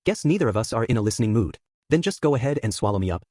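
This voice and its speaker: A man's voice: mid-range voice, very animated, very fast, a little mellow, dry recording. A man voicing a stubborn young man who speaks with desperate defiance, in a read-aloud story. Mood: defiant.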